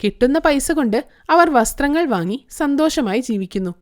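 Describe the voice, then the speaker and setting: A woman narrating an animated moral story in a scripted way, with an even, plain and steady delivery. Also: high voice, normal speed, no room sound, clean studio sound.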